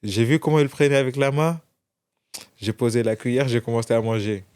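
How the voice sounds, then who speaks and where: A man's voice: mid-range voice, brisk, a little mellow, smooth. A young man from France, a guest in an interview, telling his story casually with many restarts. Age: young adult.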